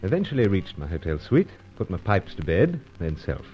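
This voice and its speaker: An actor playing an unflappable secret agent in a radio spy adventure, with clipped, understated phrasing. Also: male voice, sits low, sing-song, normal speed, over loud music. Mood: dry, understated. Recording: dull lo-fi recording.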